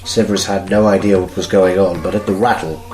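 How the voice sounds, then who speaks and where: A man's voice: low-pitched, fairly even intonation, a little fast, darkish tone, faint music behind. A man reading scripted narration as the narrator of an amateur audio drama. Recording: roomy, echoing home recording.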